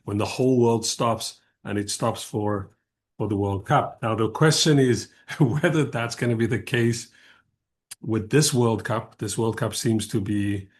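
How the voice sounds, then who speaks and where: A man's voice: on the low side, a little slow. A man in his fifties, originally from South Africa, giving a thoughtful, digressive talk as a guest speaker on an academic panel. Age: 50s.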